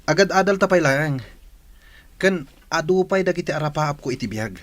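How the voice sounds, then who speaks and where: A man's voice: a little high, lively intonation, normal speed, fairly bright tone. A male actor playing an evasive young student in a radio drama, defensive and protesting, with a Filipino accent. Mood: agitated, defensive. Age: young adult.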